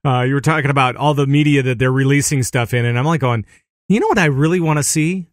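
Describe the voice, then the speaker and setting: A man's voice: medium pitch, fast, no echo, studio quality. A man hosting a casual podcast in an easygoing way, with frequent fillers and laughs between thoughts.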